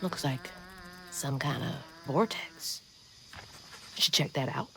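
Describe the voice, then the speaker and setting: A young woman acting a plucky game heroine who thinks out loud. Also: low voice, lively intonation, slow, heavily acted. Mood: puzzled, curious. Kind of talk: video game cutscene acting. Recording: loud music behind.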